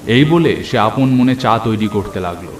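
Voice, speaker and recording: A man's voice: fairly low pitch, lively intonation, normal speed, roomy sound, faint music behind. A man narrating a horror story in the first person, in a literary, suspenseful storytelling manner. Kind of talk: dramatic scripted horror narration.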